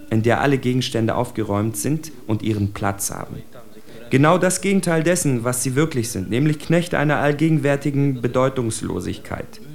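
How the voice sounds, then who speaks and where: A man's voice: mid-range voice, gravelly, darkish tone. An actor playing a bitter, analytical spokesman for outcasts, declaiming an articulate literary monologue for radio. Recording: faint music under the voice. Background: faint music.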